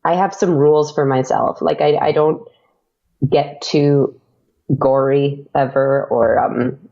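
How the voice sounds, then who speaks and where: Woman guest, gravelly fry, hesitant with pauses, dull lo-fi recording. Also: low voice, steady tone, warm tone. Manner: hesitant, many pauses and ums. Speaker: guest, audio drama podcaster. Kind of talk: casual interview chat.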